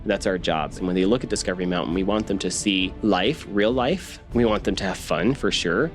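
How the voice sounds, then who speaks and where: A man's voice: deep voice, a little fast, faint music behind. A man talking conversationally in an interview as a producer, often checking in with short tag questions.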